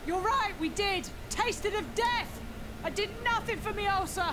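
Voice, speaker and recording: A woman's voice: very high-pitched, a little slow, noisy background. A woman playing a blunt, earthy commoner in a video game, an indignant member of a mob. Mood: worried. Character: angry, coarse commoner woman in a vengeful mob.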